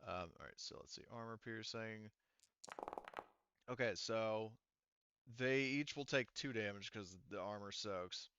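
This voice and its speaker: A man's voice: on the low side, measured pace, pauses often, muffled recording, noisy background. A man acting as game master of a tabletop roleplaying game, thinking aloud hesitantly as he works through the rules.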